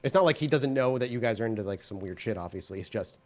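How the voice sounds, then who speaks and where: A man working as game master, improvising animated, conversational narration for a tabletop roleplaying game. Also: medium pitch, quick pace.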